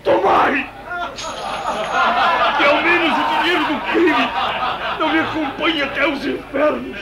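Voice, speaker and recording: An actor playing a remorseful, desperate betrayer, declaiming with passion in a religious audio drama. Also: male voice, very high voice, steady tone, measured pace, vocal fry, heavy background noise, heavily acted. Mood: tormented defiance. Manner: impassioned, declamatory. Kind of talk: religious radio drama acting. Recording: music and crowd behind the voice.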